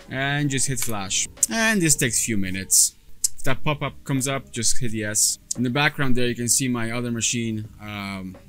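A man's voice: medium pitch, fairly bright tone. A man in his thirties whose first language is Polish, talking casually through a step-by-step computer tutorial from his workshop on a lapel mic, with frequent fillers and restarts. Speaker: data recovery technician. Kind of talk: casual screen-recorded tutorial. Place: cluttered workshop or lab, indoors. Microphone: clip-on lapel mic.

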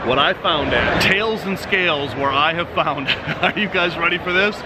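Excitable man hosting over loud expo noise. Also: fairly high pitch. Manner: excited, exclamatory, talks straight to camera. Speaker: reptile channel host. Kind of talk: enthusiastic vlog presentation. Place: busy indoor expo hall.

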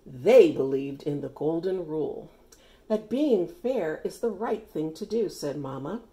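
Middle-aged lady reading a kids book out loud, lowish voice, pitch swings a lot, really emphatic. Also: lively intonation, a little slow. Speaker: read-aloud storyteller. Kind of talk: expressive children's picture book reading.

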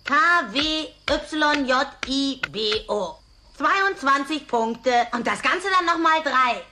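A woman's voice: high-pitched, animated, slow, noisy background. A woman voicing a bratty, smart-alecky cartoon schoolboy in an audio drama. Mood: smug, cheeky.

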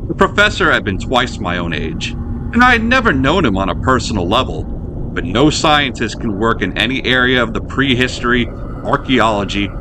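A man narrates an old-fashioned horror tale in a measured, formal read-aloud style. His voice sits at a medium pitch. There is clear ambient noise behind him throughout. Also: very animated. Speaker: host and narrator. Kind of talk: scripted narration of a horror short story. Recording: clearly noisy background.

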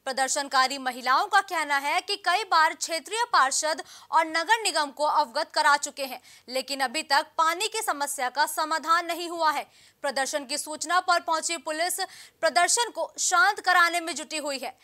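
A woman's voice: high voice, normal speed, bright, forceful tone. A young woman reading a scripted news bulletin as an anchor, formal and emphatic. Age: young adult.

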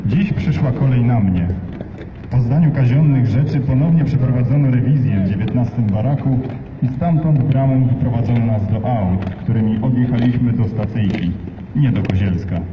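A man solemnly reading diary entries aloud at an outdoor memorial march, in a slow, measured, grave manner. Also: mid-range voice. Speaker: reader of diary excerpts. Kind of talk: solemn reading over loudspeakers. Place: outdoor street march in a city. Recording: distant PA in open air, echoey, dull, noisy.

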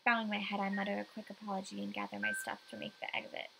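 A woman's voice: mid-range voice, huge pitch swings, fast talker, vocal fry, noisy background. A young woman reading a visual novel aloud as dramatic first-person story narration.

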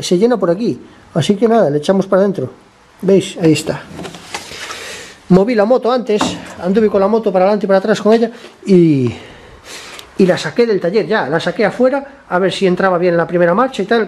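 A man with a slightly hoarse voice from a cold, talking casually while he works on a motorcycle in a garage, explaining each step and often checking in with his viewers. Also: sits high, a little fast, darkish tone. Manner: chatty, explains step by step, keeps asking ¿vale?. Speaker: motorcycle mechanic vlogger.

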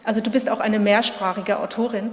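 A woman's voice: medium pitch, steady tone, brisk, slight background noise. A woman in her forties moderating a literary reading, talking loosely with plenty of fillers. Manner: warm, chatty, many fillers. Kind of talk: festival reading and interview. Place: gallery room at a literature festival. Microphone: table mic on a stand. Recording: echoey hall.

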